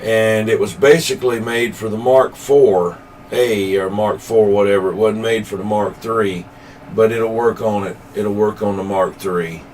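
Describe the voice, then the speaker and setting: Low-voiced man, distant and noisy, chatting. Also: fairly even intonation, a little slow, darkish tone. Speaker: CB radio hobbyist operator. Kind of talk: casual CB radio chat. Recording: noisy room recording, distant from mic.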